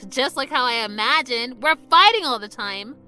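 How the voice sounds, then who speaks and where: A woman's voice: sits high, animated, normal speed, music in the background. A woman voicing a melodramatic, lovestruck teenage girl who sighs and stammers when flustered, in an anime-style romcom manga dub. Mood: fed up.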